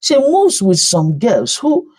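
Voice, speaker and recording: A woman's voice: on the high side, huge pitch swings, slow pace, a little mellow, slightly rough, voice pushed up, exaggerated voice. A woman voicing a concerned, polite neighbour mother in a story, earnest and careful. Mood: pleading.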